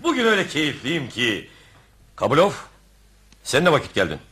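An actor playing an excitable, stubborn middle-aged clerk and father in a radio comedy, exclaiming and repeating himself. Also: a little high, normal speed, noisy background. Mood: elated. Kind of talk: radio theatre comedy acting.

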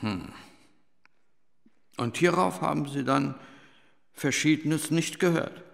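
A middle-aged army doctor in a radio drama, echoing in a big hall. His voice is creaky and he takes his time over each sceptical question. He carries himself with stern authority. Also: on the high side, slow pace, fairly bright tone, exaggerated voice. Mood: probing, sceptical. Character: probing, authoritarian male army doctor examining a recruit. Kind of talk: radio play dialogue. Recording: long hall reverb.

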